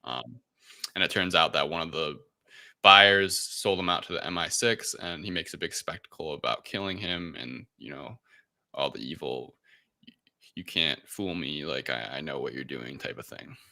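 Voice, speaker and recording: A man's voice: rumbling low, normal speed, gravelly, sharp tone, no room sound. A man hosting a casual film recap chat, retelling things in an informal, improvised way with quoted asides. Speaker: podcast host recapping a film.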